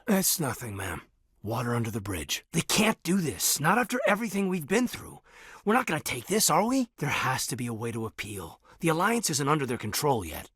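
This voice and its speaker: A man acting in a video game as an earnest, loyal military officer, reserved and thoughtful, with a husky voice and a sincere, measured delivery. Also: mid-range voice, a bit bright, dry recording, clean studio sound. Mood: subdued, apologetic, then indignant.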